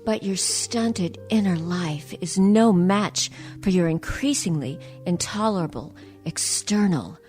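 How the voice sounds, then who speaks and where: A woman playing a clinical, self-absorbed psychiatrist in a comic radio play, deadpan and precise. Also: fairly low pitch, takes it slowly, hardly any pauses, soft background music.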